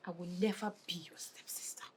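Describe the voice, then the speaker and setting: A woman's voice: mid-range voice, quick pace, slight background noise, lightly acted. A woman playing a cold, contemptuous young woman who is about to become someone's stepsister. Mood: hostile.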